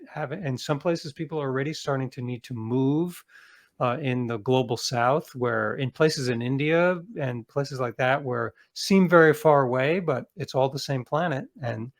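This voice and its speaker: American man, earnest and halting explainer. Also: mid-range voice. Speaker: author, interview guest. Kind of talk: conversational interview explanation.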